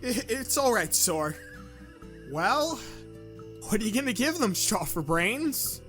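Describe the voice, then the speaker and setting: A woman's voice: on the high side, big pitch swings, takes it slowly, fairly bright tone, faint music behind, full character voice. A woman voicing a cheeky, gutsy little undead sidekick in an audio drama, blunt and wisecracking. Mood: cheeky bluster. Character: cheeky, gutsy, talkative dead little companion. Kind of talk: audio drama character acting.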